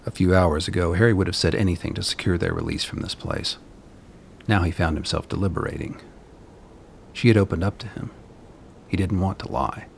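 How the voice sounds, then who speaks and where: A man narrating a dystopian radio play as a sombre, deliberate storyteller. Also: low voice, animated, creaky, a little hiss or hum.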